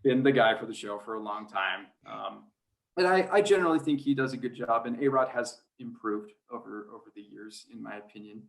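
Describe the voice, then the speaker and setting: A man chats casually as an interview guest with a medium-pitched voice. He rambles and hesitates, circling back over his words like a sports announcer off the clock. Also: brisk, vocal fry, rough recording. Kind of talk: casual podcast interview chat.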